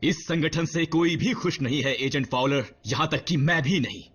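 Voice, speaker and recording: A man playing a grave veteran robot soldier in a dubbed cartoon, telling war history in a measured, solemn way. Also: a little high, breathy edge. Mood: stern. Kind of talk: dubbed animated series dialogue and narration. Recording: muffled sound with loud dramatic music.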